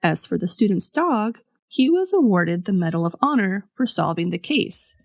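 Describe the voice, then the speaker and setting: A woman podcast host telling a scripted story in a conversational way, with pauses for dramatic effect. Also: mid-range voice, very animated, gravelly, no room sound, clean studio sound. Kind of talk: scripted storytelling with casual asides.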